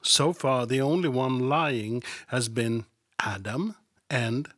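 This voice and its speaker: A man's voice: medium pitch, slow, a bit bright, no room sound, studio quality. A man hosting a podcast who reads scripture aloud and comments on it in a sarcastic, irreverent and profane way. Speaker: podcast host.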